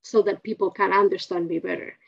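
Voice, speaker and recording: A woman with a Spanish accent, a podcast host interviewing a guest, encouraging and quick to affirm. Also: mid-range voice, steady tone, vocal fry, highs cut off. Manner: encouraging, frequent backchannels.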